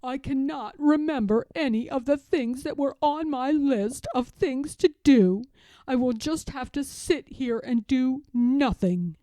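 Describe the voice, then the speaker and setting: A woman's voice: sits high, unhurried, dark tone, no room sound. A woman voicing a fussy, literal-minded male toad in a children's storybook, emphatic and easily upset. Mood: glum, then exclaiming. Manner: emphatic, dramatic, wailing when upset.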